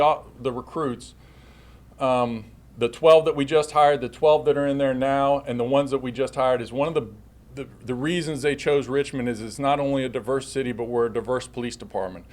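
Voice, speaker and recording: A man's voice: medium pitch, a little fast, a little mellow. Guy doing a police chief briefing, talks off slides, keeps restarting his sentences.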